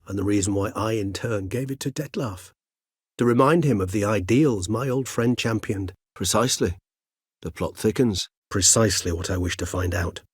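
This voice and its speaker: An ancient vampire gentleman for a video game, with a low, husky-edged voice in a very dry, close recording. He is courteous and erudite, choosing formal, measured words. A melancholy, philosophical wryness runs through his speech. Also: no echo. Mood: wry, thoughtful. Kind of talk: video game character dialogue.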